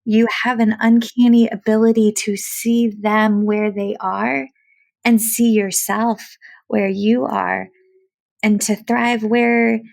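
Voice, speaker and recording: A woman's voice: on the high side, little pitch movement, slow pace, creaky, a little mellow. A woman hosting a casual interview podcast, chatty and effusive, sharing her own anecdotes. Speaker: podcast host, speech-language pathologist.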